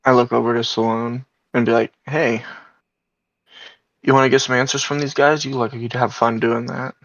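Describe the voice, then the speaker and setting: Gravelly man on a dull, dry mic. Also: mid-range voice, level tone, normal speed, a bit bright, no room sound. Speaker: tabletop game player. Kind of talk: casual roleplay chat.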